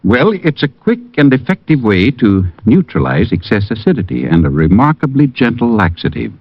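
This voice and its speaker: Lively-voiced man pitching a radio ad. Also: normal speed, dry recording. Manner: reassuring sales pitch. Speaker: announcer in a commercial. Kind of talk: 1950s radio ad. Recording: dull dry old radio recording.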